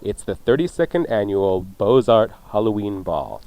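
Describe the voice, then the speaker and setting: A man's voice: lowish voice, very animated, normal speed, mellow, dry recording. A man hosting a radio show in a casual, chatty, joking way. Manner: jokey, chatty. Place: radio studio.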